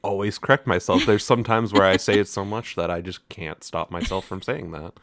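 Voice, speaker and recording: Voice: deep voice, brisk, a bit bright, dry recording. A young adult podcast co-host chatting playfully and teasing the other host. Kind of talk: casual podcast chat.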